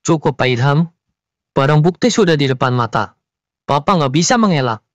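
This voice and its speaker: A man's voice: a little high, lively intonation, normal speed, dry recording, close to an everyday voice. A man voicing a stern undercover police investigator in a cartoon, speaking formally and firmly. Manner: formal, firm. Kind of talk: Indonesian cartoon dialogue, formal. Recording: music behind the voice.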